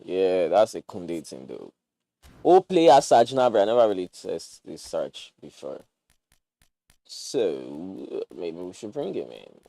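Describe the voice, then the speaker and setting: Lively Nigerian man streaming a game. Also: deep voice, slow, creaky, no echo, poor audio. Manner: animated, jokey, mixes pidgin slang. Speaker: gaming streamer. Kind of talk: casual livestream commentary.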